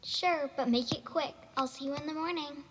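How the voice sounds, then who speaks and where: A stern, practical mother in a homemade radio play acted by a high female-sounding voice. She speaks in a high pitch, with long reverb and noise around her. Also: moderate pace, a bit bright. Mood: matter-of-fact. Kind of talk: amateur radio drama acting.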